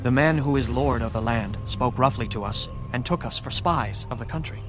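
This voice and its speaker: A man's voice: medium pitch, moderate pace, music in the background, lightly acted. A man playing a humble Hebrew brother in a dramatized audio Bible, earnest, deferential and formal. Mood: troubled. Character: humble, pleading Hebrew brother before a lord.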